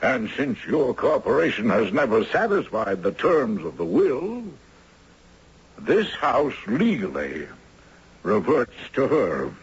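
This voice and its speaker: A very husky old lawyer in a vintage radio play. He is shrewd and dogged, with formal, theatrical phrasing and a lively rise and fall. The recording is dull. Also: mid-range voice, slow, heavily acted. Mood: triumphant. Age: older. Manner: theatrical, formal courtroom cross-examination. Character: shrewd, dogged elderly retired judge turned lawyer. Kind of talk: 1970s radio drama acting.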